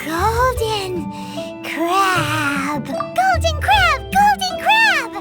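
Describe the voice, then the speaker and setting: Tiny fairy kid for a game, super high squeaky voice, bubbly and blunt, loud music behind. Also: female voice, very animated, very slow, full character voice. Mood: excited. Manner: refers to self in third person, childish. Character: tiny childlike girl fairy companion, bubbly, food-loving, blunt. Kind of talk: video game character acting.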